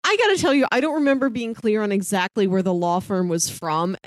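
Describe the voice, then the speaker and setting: A woman's voice: mid-range voice, a little fast, dry recording. A woman co-hosting a podcast, talking casually as she reviews a television series. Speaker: podcast host.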